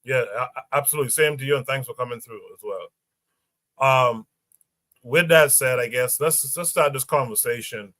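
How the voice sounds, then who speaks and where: A man hosting a livestream podcast panel who reads posts aloud and pauses often with fillers. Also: mid-range voice, pushed and bright, no room sound, studio quality. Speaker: podcast host.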